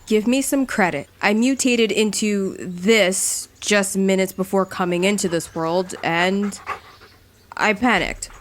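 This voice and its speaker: A woman's voice: mid-range voice, slow pace, a little hiss or hum, close to an everyday voice. Woman voicing a blunt, touchy devil creature. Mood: defensive, sarcastic. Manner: blunt, indignant, sarcastic. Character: touchy, rude, mistrustful Jersey Devil park ranger creature. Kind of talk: audio drama acting.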